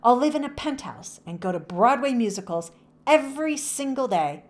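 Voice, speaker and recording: A woman's voice: a little high, sing-song, normal speed, voice pushed up. A woman voicing a confident, outgoing young girl in a children's storybook, with a bold, theatrical manner. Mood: dreamy, excited.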